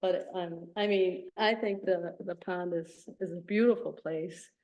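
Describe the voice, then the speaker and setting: A woman with a soft voice speaking at a town board meeting, explaining a community pond program in a chatty, hesitant way. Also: fairly low pitch, steady tone, unhurried, muffled recording. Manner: chatty, hesitant with many ums.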